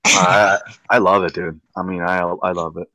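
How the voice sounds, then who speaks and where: Man in his thirties, low voice, dry close sound, laid-back and stammery. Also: flat delivery, normal speed, bright, forceful tone, no room sound, rough recording. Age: 30s. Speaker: livestream co-host. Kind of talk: casual chat.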